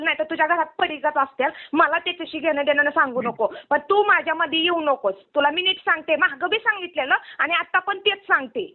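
Shrill, combative rural Maharashtrian woman on phone. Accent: rural Maharashtrian Marathi. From Maharashtra, India. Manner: combative, scolding, taunting, challenging. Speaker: caller in a phone quarrel. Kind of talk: heated informal phone argument. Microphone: phone line. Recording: tinny narrowband phone call recording.

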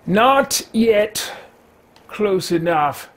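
A man's voice: sits high, expressive, extremely slow, fairly bright tone, exaggerated voice. A man in his thirties voicing an arrogant, bitter, power-hungry wizard in a video game roleplay, speaking in a pompous, theatrical, archaic manner. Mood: haughty, musing. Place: small home room, indoors.

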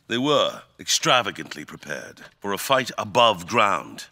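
A man performs an imperious fantasy monarch for an audio drama. The voice is deep and bright-edged, with brooding weight. The diction is formal and theatrical, each phrase placed deliberately. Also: sing-song, exaggerated voice. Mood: grave, scornful. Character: grave, imperious fantasy king, eloquent and brooding.

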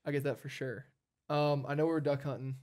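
A man's voice: mid-range voice, fairly even intonation, fairly quick, darkish tone. A man in his twenties hosting a casual interview chat, affirming and often repeating short phrases. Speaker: podcast host. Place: at a dining table in a home. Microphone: headset.

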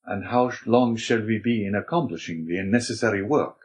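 Young male captive for storybook acted by a man: eager, honourable, formal delivery, fairly low pitch. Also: normal speed, natural voice. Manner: earnest, formal.

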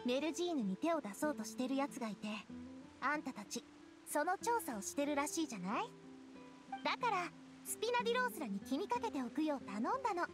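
A woman's voice: high voice, very animated, brisk, over music. An actress playing a confident, breezy young lady in an anime-style game, casual, direct and knowing.

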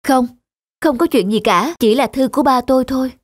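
An actress playing a modest, principled young woman scientist in an animated drama, earnest and restrained. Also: high voice, fairly quick, natural voice. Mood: restrained, sad. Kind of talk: dubbed animated drama dialogue.

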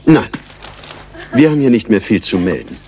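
A privileged, resentful son of a country house in a radio drama. His voice is fairly low but moves expressively, with a dry, sardonic edge. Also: sing-song, moderate pace, a little mellow, slight background noise. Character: resentful upper-class English heir, grown son. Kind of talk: radio play dialogue.

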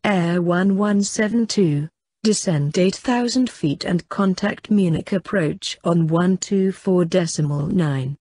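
Slow, lowish-voiced woman giving controller instructions. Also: warm tone, breathy edge, no room sound. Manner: measured, formulaic. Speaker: air traffic controller in an English lesson. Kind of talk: scripted air traffic control phraseology.

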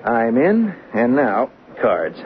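An actor playing a brisk card dealer among commuter friends in a 1970s radio drama, with casual table talk. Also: male voice, mid-range voice, huge pitch swings, phone-quality sound. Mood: chatty. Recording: band-limited vintage radio, faint noise.

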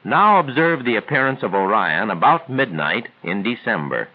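A man reads scripted 1940s training film narration in a measured, formal, instructional way. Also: lowish voice, sing-song. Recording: tinny band-limited 1940s film soundtrack.

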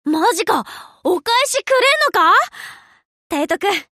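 A woman voicing a brash, casual teenage warship girl in an anime game, slangy and lively. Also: extremely high pitch, normal speed, dry recording, studio quality. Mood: surprised, pleased. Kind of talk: anime game character voice acting.

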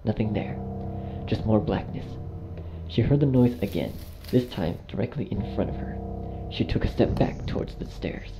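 A man reading a dark fan fiction story as scripted narration. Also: fairly low pitch, lively intonation, normal speed. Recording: dull lo-fi audio over clear music.

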